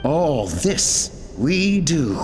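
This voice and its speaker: A man's voice: on the high side, big pitch swings, very slow, a little mellow, soft background music, full character voice. A man playing an earnest, idealistic dreamer in a video game, solemn and hopeful as he voices a notebook. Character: earnest idealistic male dreamer voicing his old notebook. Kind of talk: English video game voice acting. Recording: long reverb.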